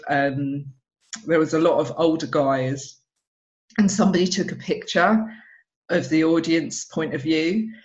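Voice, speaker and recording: A woman's voice: sits low, measured pace. A woman in her thirties with an Essex accent, a stand-up comedian chatting on a video call and acting out other people's lines as she tells stories. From England. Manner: animated storyteller who acts out other people's lines. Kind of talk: casual interview chat. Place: home room, video call. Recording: dull lo-fi video call audio.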